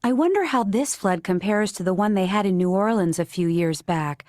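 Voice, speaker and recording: A woman reading both parts of a scripted textbook dialogue in a plain, even way. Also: medium pitch, moderate pace, dry recording, clean studio sound. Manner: plain, even read-aloud delivery.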